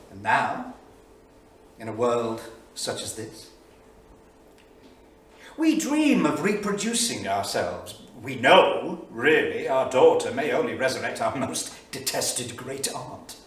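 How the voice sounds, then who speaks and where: A man acting a wry 1930s intellectual in a staged reading, musing in a hesitant, bookish way. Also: mid-range voice. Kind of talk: reflective journal monologue. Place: small theatre studio. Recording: echoey theatre, distant mic, faint noise.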